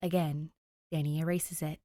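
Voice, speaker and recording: Woman reading a story out loud, lowish voice, really dry close sound. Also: little pitch movement, moderate pace, no echo. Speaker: podfic reader. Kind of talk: expressive scripted story narration.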